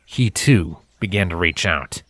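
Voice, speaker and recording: A man's voice: low-pitched, lively intonation, measured pace, a bit bright, no echo. A man reading scripted fantasy fiction as a storybook narrator. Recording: music bed behind narration.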